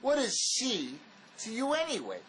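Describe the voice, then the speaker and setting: An actor playing a wary, blunt escaped convict in a drama, speaking in an argumentative, insistent manner. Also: male voice, very high-pitched, huge pitch swings, measured pace, a bit bright, a little hiss or hum, heavily acted, poor audio. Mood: exasperated, arguing. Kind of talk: dramatic dialogue reading.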